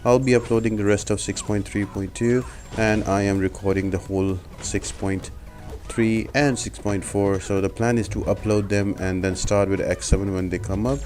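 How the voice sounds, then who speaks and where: A man's voice: on the low side, fairly even intonation, moderate pace, a little mellow, soft background music. A man giving casual tutorial commentary over gameplay, as a video game guide creator.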